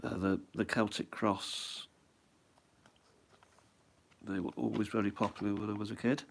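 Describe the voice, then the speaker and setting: A man's voice: fairly low pitch, fairly even intonation, a little slow, vocal fry, husky. A middle-aged man with a head cold, chatting casually at home as he shows off his stamp album and muses aloud. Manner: rambling, musing aloud, asks himself questions. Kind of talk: casual show-and-tell.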